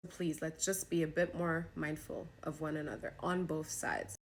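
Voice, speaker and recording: A woman's voice: low-pitched, steady tone, normal speed, slight background noise. A woman from Germany giving an earnest opinion talk to camera as a podcast host, speaking in an emphatic, pleading way.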